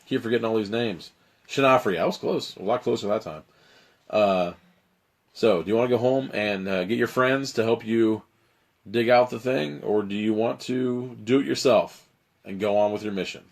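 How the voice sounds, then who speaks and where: A man with a raspy, strained voice, hosting a live stream where he reads an adventure story aloud and chats with viewers. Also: fairly low pitch, normal speed. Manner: dramatic narration, then loose rambling chat. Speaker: host reading a choose-your-own-adventure book.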